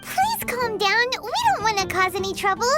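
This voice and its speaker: A woman voicing a tiny, earnest childlike fairy companion in a video game, with a squeaky voice. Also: big pitch swings, moderate pace, over loud music. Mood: worried, apologetic. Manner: refers to herself in third person. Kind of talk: video game dialogue acting.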